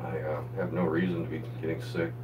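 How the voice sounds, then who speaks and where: A man cooking in his home kitchen and chatting with viewers on a live stream, his voice hoarse as he gets sick. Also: extremely low pitch, level tone, brisk, distant mic, noisy background. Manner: chatty, greets viewers by name while cooking. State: voice going hoarse, getting sick. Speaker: home cook livestreaming. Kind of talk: casual cooking livestream. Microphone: phone camera.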